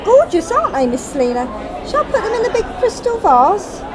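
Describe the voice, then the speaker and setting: A woman voicing a chatty, deferential theatre dresser in an animated short-story reading. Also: extremely high pitch, a little fast, pitched above the performer's own voice. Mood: eager, chirpy. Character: chatty, over-eager young female theatre dresser, apologetic. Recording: loud crowd noise in public room.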